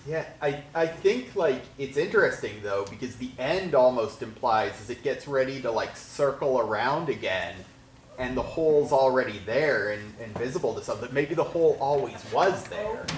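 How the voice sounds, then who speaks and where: A man with a middle-pitched voice thinks out loud, turning his ideas into questions. The room is echoey, with a faint hum of ambience. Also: animated, moderate pace. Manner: thoughtful, questioning. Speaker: audience member giving feedback. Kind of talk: casual discussion.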